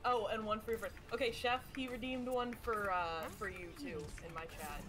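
A woman's voice: fairly high pitch, normal speed. A woman streaming a team shooter, chatting casually, cheering and swearing as she plays. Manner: excitable, swears and cheers during play. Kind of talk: casual gaming chat. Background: game music and sound effects.

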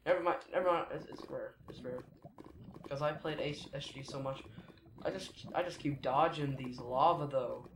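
A man's voice: fairly high pitch, moderate pace. A teenage boy giving rambling, chatty commentary while playing a video game, often correcting himself mid-sentence. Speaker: gaming YouTuber. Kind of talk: casual Let's Play commentary. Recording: echoey room with noise behind.

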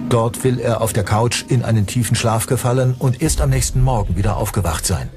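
A man narrates a true-crime documentary in a scripted style. His voice is medium in pitch, and music plays clearly behind him. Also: brisk, darkish tone.

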